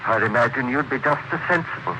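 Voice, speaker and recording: An actor playing a cold, calculating middle-aged psychologist in a 1940s radio drama, speaking calmly and deliberately. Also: male voice, medium pitch. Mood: detached. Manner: calm, probing, slow deliberate questions. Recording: noisy old radio broadcast.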